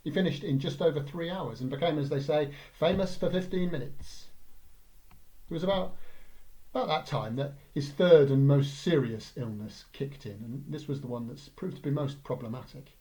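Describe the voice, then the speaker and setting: A man's voice: on the high side, moderate pace, dark tone, poor audio. A man with an English accent reading a light-hearted first-person story as narrator. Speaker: story reader.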